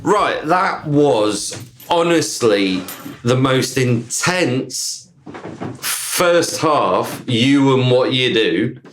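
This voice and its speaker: A man hosting a podcast, asking his guests questions in a slow, relaxed way. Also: medium pitch, roomy sound, soft background music. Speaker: podcast host. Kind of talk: casual podcast interview.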